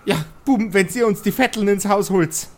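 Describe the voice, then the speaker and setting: Man playing a higher-pitched, doting dwarf mother. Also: normal speed, natural voice. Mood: worried, fussing. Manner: motherly, fussing, addresses her boys affectionately. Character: doting dwarf mother, folksy, feeding her three grown sons.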